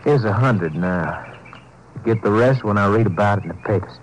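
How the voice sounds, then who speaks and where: An actor playing a slick, pushy criminal with a businesslike, wheedling manner in a 1950s radio drama. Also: male voice, lowish voice, brisk, close to an everyday voice. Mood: satisfied, businesslike. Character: slick, pushy criminal schemer hiring a killer. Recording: noisy old 1950s radio recording.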